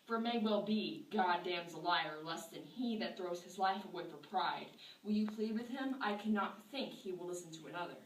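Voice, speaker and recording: A woman's voice: lowish voice, close to an everyday voice. Anguished Puritan minister acted by a woman, echoey. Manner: earnest, impassioned preaching delivery. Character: anguished, pleading male Puritan minister, burdened by guilt. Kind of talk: amateur stage-play acting. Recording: echoey room, distant mic.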